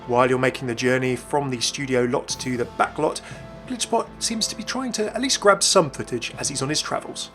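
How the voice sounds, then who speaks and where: A man's voice: mid-range voice, fairly quick, fairly bright tone, soft background music. A young man hosting a gaming video with scripted narration. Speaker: YouTube gaming host.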